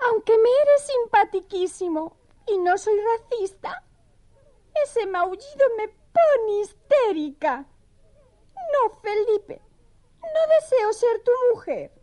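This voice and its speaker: A woman's voice: very high-pitched, big pitch swings, slow pace, dark tone, exaggerated voice. A woman voicing a dainty, coquettish young female mouse with a prim, sweetly affected manner in a children's audio story. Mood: dismayed, refusing.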